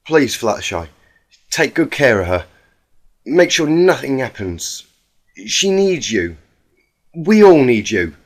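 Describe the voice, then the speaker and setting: A man's voice: medium pitch, sing-song, takes it slowly, long pauses, voice pushed up. A man voicing a stubborn, loyal female country pony in a story reading, blunt and emphatic. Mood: urgent.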